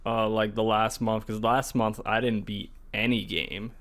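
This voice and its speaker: A man's voice: fairly low pitch, level tone, unhurried, fairly bright tone. A man co-hosting a gaming podcast, talking in loose, casual conversation.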